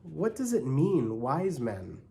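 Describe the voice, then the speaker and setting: A man puts on a young storybook boy. He is rough around the edges and curious, putting his questions bluntly and without hurry. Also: a little high, very animated, takes it slowly, mellow, full character voice.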